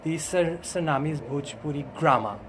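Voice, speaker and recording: Male, middle-aged, Trinidadian accent, fairly high voice; hesitant, explanatory interview guest over faint background noise. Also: normal speed, a bit bright. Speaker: interview guest, language teacher and doctor.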